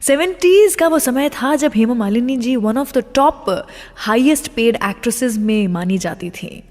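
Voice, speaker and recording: Higher-pitched Indian woman radio host, heavy reverb. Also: animated, takes it slowly, darkish tone. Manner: addresses listeners directly with teasing questions, mixing in English phrases. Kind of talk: scripted radio presenting with film trivia.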